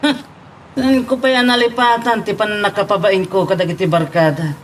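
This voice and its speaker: Guy doing a taunting young ilocano rival, super high voice, love drama, bit of background noise. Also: steady tone, normal speed, natural voice. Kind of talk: Ilocano romance radio drama acting.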